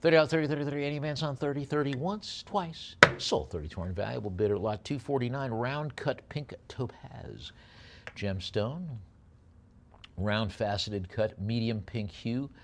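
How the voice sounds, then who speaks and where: A man's voice: mid-range voice, background noise. A man working as an auctioneer at a live sale, moving between repetitive bid chanting and descriptions of the lots. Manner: rapid repeated bid chant alternating with unhurried item descriptions.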